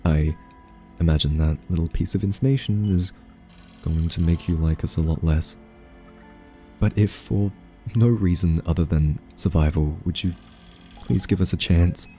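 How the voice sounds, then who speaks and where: A man performs a male scientist with a deep, warm, softened voice over faint music. He is earnest and socially awkward, feeling his way through sentences with nervous hesitations. The delivery is gentle, soft-spoken ASMR roleplay. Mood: pleading, resolute. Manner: hesitant, halting, full of nervous ums.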